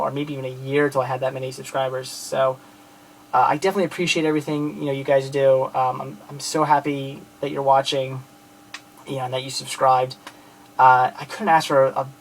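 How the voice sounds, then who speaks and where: A man's voice: a little high, rough recording. A man in his late twenties from outside Philadelphia, talking casually to camera about his movie collection, rambling with many fillers and restarts in a friendly, grateful way. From outside Philadelphia, Pennsylvania.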